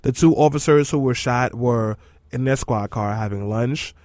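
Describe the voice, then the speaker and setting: A man with a hoarse voice co-hosting a talk radio show in a studio, joking with his co-host and then speaking seriously about the news. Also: medium pitch, normal speed, darkish tone, dry recording. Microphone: studio microphone.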